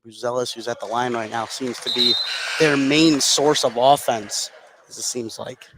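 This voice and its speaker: A man's voice: mid-range voice, steady tone, moderate pace, heavy background noise. A man giving conversational, explanatory analysis as color commentator on a live basketball radio broadcast. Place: indoor basketball gymnasium.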